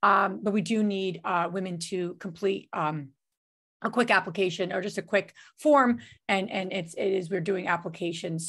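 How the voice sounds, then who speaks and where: A woman's voice: mid-range voice, a bit bright. A woman hosting a webinar over a video call, talking casually with many fillers and restarts. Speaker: webinar host.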